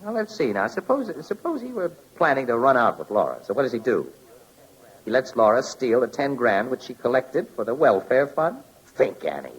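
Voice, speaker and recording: An actor playing a skeptical, wry newspaper photographer and amateur sleuth in a 1940s radio drama, with a husky, colloquial delivery. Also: male voice, medium pitch, huge pitch swings, normal speed, poor audio. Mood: sardonic, arguing. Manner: colloquial, sardonic, questioning. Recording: old-time radio recording.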